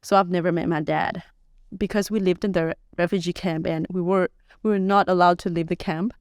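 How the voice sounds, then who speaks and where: Soft-spoken young woman, clear dry voice. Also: lowish voice, fairly even intonation, dry recording. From Thailand-Burma border. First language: S'gaw Karen. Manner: soft-spoken, hesitant, unhurried, many fillers. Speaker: interview guest. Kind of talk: personal life story interview. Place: podcast studio.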